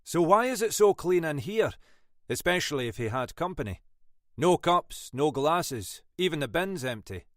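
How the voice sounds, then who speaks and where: A man voicing a shrewd older Scottish detective inspector with a dry, brisk, wry manner. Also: fairly high pitch, very animated, no echo, voice pushed up. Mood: probing.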